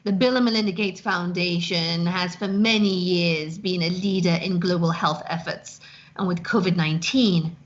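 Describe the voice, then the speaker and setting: A woman's voice: on the low side, steady tone, normal speed. Formal woman, lo-fi sound. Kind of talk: scripted statement. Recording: dull lo-fi sound.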